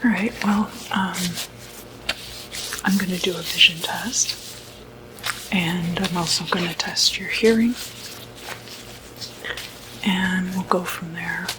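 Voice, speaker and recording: A woman playing a calm, quietly puzzled doctor in an ASMR medical roleplay, whispering breathily and hesitantly. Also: on the low side, background noise. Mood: calm, businesslike. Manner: hesitant, halting, murmured asides. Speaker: ASMR performer.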